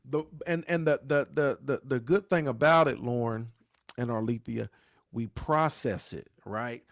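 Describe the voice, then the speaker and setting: A pastor who talks to viewers in a preachy, repeating way and asks "right?" again and again. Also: male voice, medium pitch, a little slow, no echo. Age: 40s. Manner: dialect grammar, says "y'all". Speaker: pastor hosting a grief talk show. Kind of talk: live-stream talk answering viewer questions.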